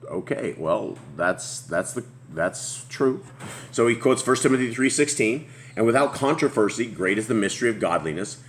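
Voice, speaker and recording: A man with a booming voice hosting a casual group Bible study, rambling and restarting as he reads aloud. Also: expressive, moderate pace. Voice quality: deep booming voice. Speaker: host.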